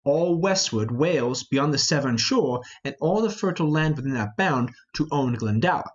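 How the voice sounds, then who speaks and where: A man playing a reasonable, peacemaking noble conspirator in a Shakespearean play reading, measured and formal. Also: fairly high pitch, lively intonation, slightly rough, highs cut off, pitched below the performer's own voice. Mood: businesslike.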